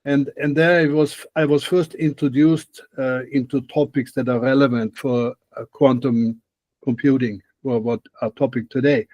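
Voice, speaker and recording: A man's voice: mid-range voice, fairly even intonation, moderate pace, darkish tone, no echo. An older man from Austria with an Austrian accent, a guest expert answering questions thoughtfully on a video call, often restarting his sentences. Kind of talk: interview answers. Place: home room, video call.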